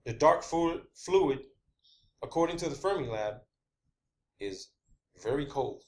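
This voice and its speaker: A man's voice: fairly high pitch, expressive, a little slow. A man giving an informal, opinionated monologue in an emphatic, argumentative way, peppered with swearing. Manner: emphatic, profanity-laced, argumentative. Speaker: online video presenter.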